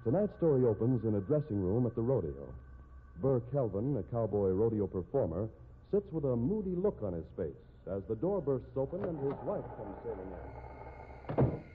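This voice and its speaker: A man's voice: mid-range voice, sing-song, moderate pace. An American man narrating as the announcer of a 1950s radio drama, reading from a script. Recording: muffled, hissy old radio broadcast.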